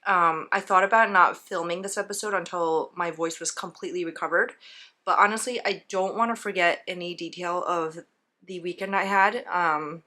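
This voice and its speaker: Hoarse, bright-voiced woman chatting on camera. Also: lowish voice, steady tone, normal speed. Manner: chatty, clears her throat often. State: hoarse, voice recovering. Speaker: knitting video host. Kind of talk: casual vlog recap.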